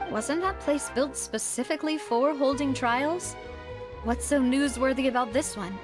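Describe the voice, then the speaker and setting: A woman playing a poised, determined young lady investigator in a video game, composed and expressive. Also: sits high, normal speed, over music, natural voice. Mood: surprised.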